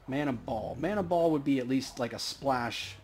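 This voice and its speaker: A man's voice: medium pitch, lively intonation, moderate pace, a little mellow. A man hosting a let's play, giving casual running commentary on the game. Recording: faint background noise.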